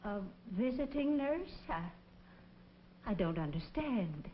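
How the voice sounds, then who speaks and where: A woman's voice: fairly high pitch, very animated, slow pace. An actress playing a sick, weary, proud and lonely woman in a 1940s film melodrama, stubborn and plaintive. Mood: bewildered, proud. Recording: dull, echoey, noisy vintage film soundtrack.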